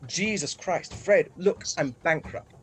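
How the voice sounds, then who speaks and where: A man's voice: fairly high pitch, takes it slowly, slight background noise. A man acting a gloomy, troubled older uncle in a comic radio play, weary and exasperated.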